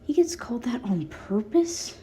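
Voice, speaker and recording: A woman's voice: fairly high pitch, big pitch swings, moderate pace, warm tone, in a whisper, pitched above the performer's own voice. A woman voicing a feral, needy little sister with a blunt, whiny manner in a fan fiction audiobook. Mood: incredulous, curious.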